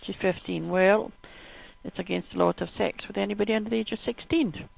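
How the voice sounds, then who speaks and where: Creaky-voiced woman on a tinny phone line. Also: medium pitch, fairly even intonation, a little fast, no room sound. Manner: matter-of-fact, explanatory. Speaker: sexual health helpline adviser. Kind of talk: phone advice conversation.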